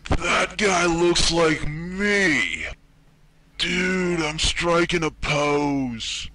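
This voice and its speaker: A man's voice: a little high, extremely slow, bright, forceful tone, a little hiss or hum, heavily acted. A man voicing a dim-witted, laid-back stoner with a drawl in an anime parody. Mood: spaced-out, amused. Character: spaced-out, dim-witted male stoner slacker. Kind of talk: comedic cartoon parody dubbing.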